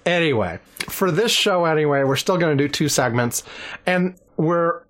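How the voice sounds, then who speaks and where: Podcast host man with a lively voice. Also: fairly high pitch, normal speed. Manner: dry joking, frequent "yeah" and "anyway". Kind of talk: casual podcast chat.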